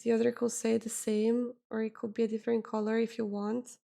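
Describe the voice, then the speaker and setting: A woman streamer, chatting casually with her viewers, often pausing and correcting herself as she hunts for words. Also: on the high side, level tone, vocal fry, mellow. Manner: hesitant, searching for words, self-correcting. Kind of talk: casual chat with chat readers.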